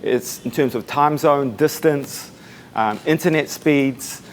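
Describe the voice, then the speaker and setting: A man in his twenties with a New Zealand accent, giving a casual, soft-spoken talk to a meetup audience, with many fillers. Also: mid-range voice, slow pace, a little hiss or hum. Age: 20s. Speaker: speaker at a meetup. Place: coworking space event room.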